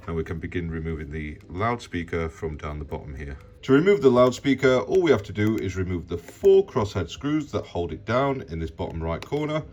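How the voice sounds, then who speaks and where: Low-pitched man giving instructions. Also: a little mellow, dull audio. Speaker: repair tutorial host. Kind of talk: step-by-step instructional narration.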